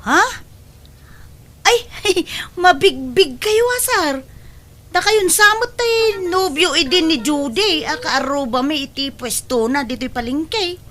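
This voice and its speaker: A woman playing an excitable, exclamatory middle-aged mother and market vendor in a comedy radio-style drama. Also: high-pitched, slow pace, exaggerated voice. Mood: coy, flustered.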